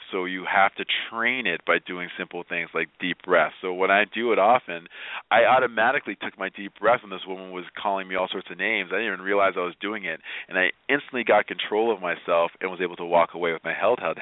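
Low-voiced man on a phone-like line. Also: fairly quick, dry recording. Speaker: guest, positivity speaker and blogger. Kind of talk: radio interview, motivational advice.

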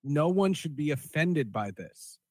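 A man's voice: fairly high pitch, big pitch swings, unhurried, no echo. Emphatic man co-hosting, dry close sound. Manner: opinionated, emphatic, repeats himself for stress. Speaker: podcast co-host. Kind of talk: casual panel discussion.

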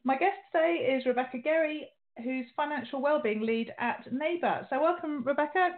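A woman's voice: high-pitched, normal speed. A middle-aged woman hosting an interview show, talking in a chatty way with restarts and fillers. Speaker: radio show host. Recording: tinny phone-like sound.

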